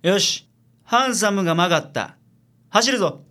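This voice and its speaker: ラジオドラマで、関西弁のぶっきらぼうで愚痴っぽい私立探偵を演じる男性。. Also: male voice, sits high, lively intonation, moderate pace, background noise. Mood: brisk, commanding. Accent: Kansai. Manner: blunt, grumbling. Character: gruff, grumbling male private detective boss. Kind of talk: radio drama acting.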